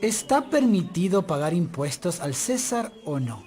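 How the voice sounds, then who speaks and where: High-voiced, swooping sly Pharisee. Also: male voice, sing-song, a little slow, a little mellow. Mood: flattering, probing. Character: sly, flattering Pharisee man questioning a teacher. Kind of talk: dramatized audio Bible acting.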